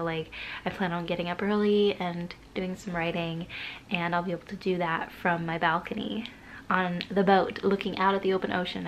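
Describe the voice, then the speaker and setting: A woman's voice: medium pitch, a little hiss or hum, rough recording. A young woman with a stuffy nose from a cold, chatting casually to camera in a rambling, self-deprecating way. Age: young adult. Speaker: writing vlogger.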